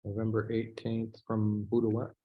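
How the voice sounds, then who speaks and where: A man's voice: lowish voice, monotone, moderate pace. A man chairing a local board meeting, moving through the agenda in informal procedural talk. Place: meeting room. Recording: dull, muffled room recording.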